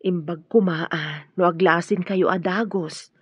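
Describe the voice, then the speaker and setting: An actress with a husky voice and Ilocano accent playing a worried, humble, caring mother in a radio drama. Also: fairly low pitch, fairly even intonation, measured pace, no room sound, highs cut off. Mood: worried, advising.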